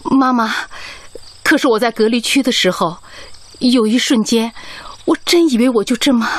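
A woman's voice: a little high, moderate pace, natural voice. An actress playing a brave, devoted head nurse and young mother in an emotional Chinese radio drama, speaking tenderly and earnestly. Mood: solemn, confiding.

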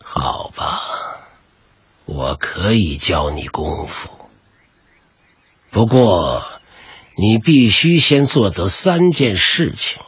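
Radio drama kung fu sage, aged, stern and husky, very low-pitched, dull recording. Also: male voice, expressive, no echo, exaggerated voice. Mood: stern, measured. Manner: measured, authoritative. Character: stern, patient old male Taoist kung fu master.

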